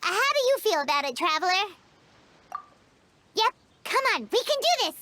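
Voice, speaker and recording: A woman's voice: very high-pitched, big pitch swings, moderate pace, pushed and bright, slight background noise, exaggerated voice. A woman voicing a tiny, childlike fairy companion in a video game, chirpy and eager. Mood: curious, eager. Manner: chirpy, talks of self in third person.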